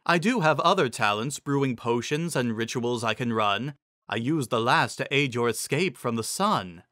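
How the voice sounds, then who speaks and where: A man's voice: mid-range voice, animated, moderate pace, sharp tone, dry recording, studio quality. A man voicing a humble female zebra seer in a fantasy story, speaking deferentially in formal rhyme. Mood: apologetic. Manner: deferential, formal rhyming speech.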